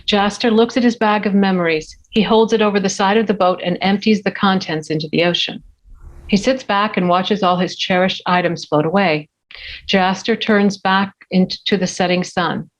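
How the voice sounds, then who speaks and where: A woman's voice: medium pitch, moderate pace. A woman reading scripted screenplay stage directions as narrator at a table read. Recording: dull, lo-fi remote audio.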